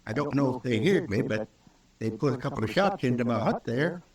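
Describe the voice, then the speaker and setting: A man's voice: mid-range voice, lively intonation, fairly quick, a little mellow, natural voice. An actor playing a naive, earnest male newcomer to the frontier in an old radio drama, folksy and hesitant. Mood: anxious earnest. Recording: roomy old radio recording, distant from mic.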